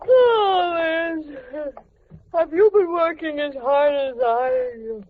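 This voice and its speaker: A woman playing a weary, put-upon wife in a vintage radio sitcom, with drawn-out plaintive delivery. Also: very high-pitched, heavily acted. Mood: gushing. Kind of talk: 1940s-50s radio sitcom acting. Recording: muffled, noisy vintage radio recording.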